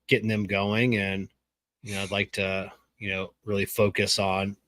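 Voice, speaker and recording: A man's voice: fairly low pitch, flat delivery, normal speed, fairly bright tone, no echo. A man in his forties co-hosting a podcast, chatting in an easygoing way with stammered restarts and a lot of "you know". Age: 40s. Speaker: podcast co-host. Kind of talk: casual chat.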